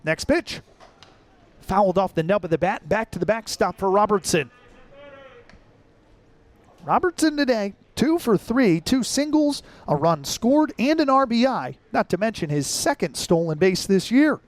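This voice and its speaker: A man's voice: high voice, lively intonation, measured pace. A man doing live sports play-by-play as a commentator, over the sound of the venue.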